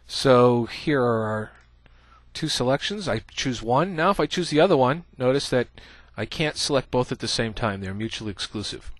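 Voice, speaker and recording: A man's voice: mid-range voice, animated, normal speed, no echo. A man giving a casual screencast coding tutorial, thinking aloud as he types, with many "uh" fillers. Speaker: programming instructor.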